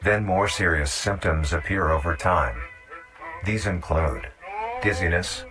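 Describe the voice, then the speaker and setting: A man with a very deep voice reads scripted documentary-style narration. He is measured and instructional, and music plays clearly behind him. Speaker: narrator of a horror nature-guide video.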